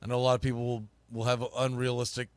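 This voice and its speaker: A man's voice: mid-range voice, monotone, quick pace, smooth, no room sound. A man hosting live sports talk, giving opinionated commentary in an emphatic, colloquial, conversational way like a broadcaster. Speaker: sports podcast host and play-by-play broadcaster.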